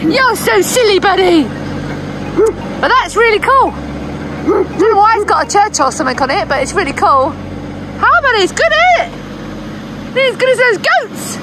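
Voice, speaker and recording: A woman chatting excitedly with her family aboard a sailboat, exclaiming and laughing often. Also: very high-pitched, normal speed, long pauses. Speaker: sailing family vlogger, mother. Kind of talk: casual family chat on a boat. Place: outdoors on a sailboat deck at sea. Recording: loud wind and water noise, chatter behind.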